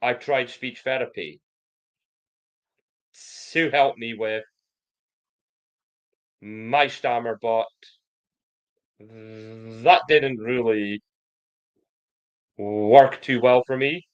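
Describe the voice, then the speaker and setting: A man who stammers gives a prepared personal testimony in slow, deliberate, controlled speech, with occasional blocks on sounds. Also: medium pitch, clean tone, lo-fi sound. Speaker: programme member giving a testimonial.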